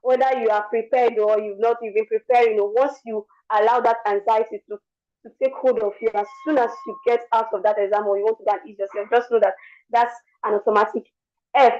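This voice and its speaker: A young woman with a worn, hoarse voice, giving an earnest motivational talk over an online call. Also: fairly high pitch, fairly even intonation, brisk, highs cut off. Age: young adult. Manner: earnest, repeats words while speaking. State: lost her voice. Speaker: guest speaker.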